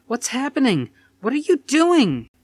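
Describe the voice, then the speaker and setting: Guy doing a frantic tough action heroine, pitched way up, really swingy, urgent storybook drama. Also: wildly swooping pitch, a little slow, no echo, exaggerated voice. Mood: frantic, shouting. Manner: urgent, emphatic cries. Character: frantic, defiant young action heroine, pleading and screaming.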